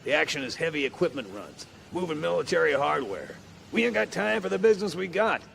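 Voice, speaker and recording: An actor playing a wild but good-hearted space pirate mechanic with a gravelly voice and boisterous, slangy talk in an audio drama. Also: male voice, fairly high pitch, big pitch swings, fairly quick, fairly bright tone, slight background noise, full character voice. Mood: warning.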